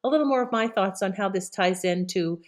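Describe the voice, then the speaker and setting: A woman's voice: mid-range voice, brisk. A woman presenter giving an informal spoken introduction to a programme.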